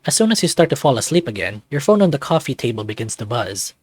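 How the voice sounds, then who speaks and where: A man narrates a visual novel as he plays it, reading the story aloud and adding his own comments. His pitch sits in the middle for a man, and the recording is dry. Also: sing-song, quick pace, no room sound.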